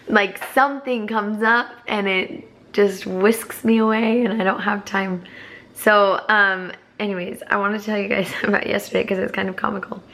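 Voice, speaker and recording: A hoarse young woman chatting to camera as a foster parent, rambling with many fillers. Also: medium pitch, fairly bright tone. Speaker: vlogger. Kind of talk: casual chat to camera.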